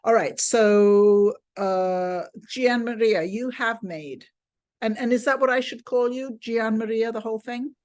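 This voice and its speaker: A woman's voice: fairly high pitch, measured pace, a little mellow. A woman with an effusive, exclamatory manner, teaching an informal online class over a video call. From England. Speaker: teacher of a film finance class.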